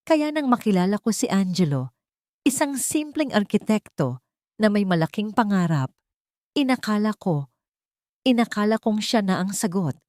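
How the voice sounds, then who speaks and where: A woman with a Filipino accent voicing a betrayed, quietly resolute heiress in an audio love story, soft but steady and bitter. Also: mid-range voice, normal speed, pauses often, no room sound, studio quality, pitched above the performer's own voice, natural voice. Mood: aching.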